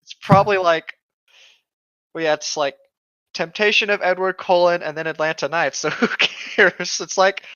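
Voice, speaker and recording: A man's voice: high voice, fairly even intonation, moderate pace, bright, forceful tone, no room sound. A man co-hosting a comedy show, chatting casually and mockingly reading stories with sardonic, sweary jokes, on a lo-fi microphone. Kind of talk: casual banter and mocking read-aloud of internet horror stories. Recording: dull, lo-fi microphone sound.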